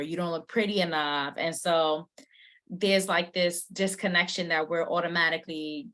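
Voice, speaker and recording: A woman's voice: on the low side, pushed and bright. A woman hosting a summit interview, introducing her guest and asking questions conversationally.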